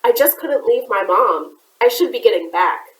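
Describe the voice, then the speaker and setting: A woman voicing a young boy, curious and a little nervous, with a small squeaky voice. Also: animated, normal speed, far from the mic, natural voice. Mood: polite. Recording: roomy echoey room.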